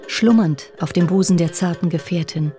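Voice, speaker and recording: A woman's voice: lowish voice, normal speed, dark tone, lightly acted. A woman reciting as a tender, sensual ancient love poet, with plucked string music behind her. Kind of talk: poetry recitation.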